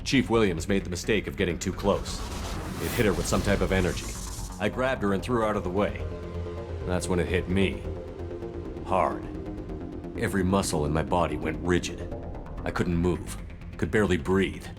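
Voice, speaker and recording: Man acting deep-voiced soldier narrator over music. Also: normal speed, pauses often, natural voice. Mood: grave, reflective. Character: weathered male space soldier recounting past missions. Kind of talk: scripted first-person game narration.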